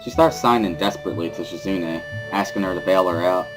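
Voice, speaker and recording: A man reading a first-person story as a scripted dramatic narration, in an introspective, measured way. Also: low voice, music in the background.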